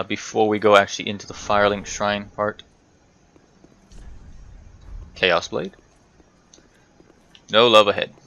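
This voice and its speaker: A man's voice: on the low side, sharp tone. A man giving casual, rambling commentary over a video game playthrough, chatting to himself and to the game's characters. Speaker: gaming video host.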